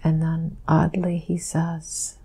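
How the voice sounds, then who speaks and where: A woman speaks in a soft, breathy whisper, low in pitch and very slow. Her words come haltingly, with a gravelly, husky edge and a flat, even tone. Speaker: session subject answering questions. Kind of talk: slow whispered first-person recollection.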